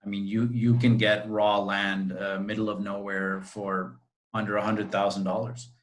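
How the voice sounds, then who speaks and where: A man's voice: lowish voice, little pitch movement, normal speed, a little hiss or hum. A man from Costa Rica, a real estate agent, talking at length in a friendly way on a video call interview. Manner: friendly, talkative, explains at length. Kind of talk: interview answers.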